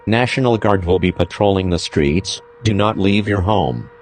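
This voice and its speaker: A man's voice: low-pitched, lively intonation, normal speed, noisy background. A man delivering a scripted emergency broadcast announcement in a formal, terse, official manner.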